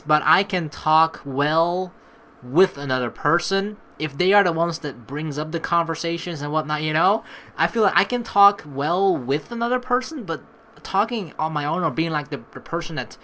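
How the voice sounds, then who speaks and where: A woman's voice: fairly low pitch, a bit bright. A woman hosting a let's play with casual, rambling and informal commentary, over game music. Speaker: let's player, host. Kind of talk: casual gaming commentary, reading game text aloud. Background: game music faintly behind the voice.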